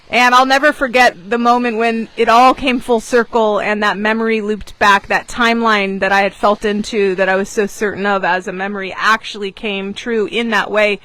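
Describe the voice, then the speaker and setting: A woman who grew up in England with American parents tells a personal story as a manifestation coach, expressively, with dramatic pauses for emphasis. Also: a little high, sharp tone, no echo, poor audio. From born in Japan, grew up in England, American parents. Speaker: manifestation coach and class teacher. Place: outdoors on a beach.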